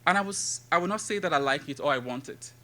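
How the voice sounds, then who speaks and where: A man in his thirties from Nigeria with a Nigerian accent, giving a conference talk and reciting his poetry in a measured, rhythmic way. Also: a little high, animated, bright, forceful tone. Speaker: conference speaker and poet. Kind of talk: academic talk with poetry reading.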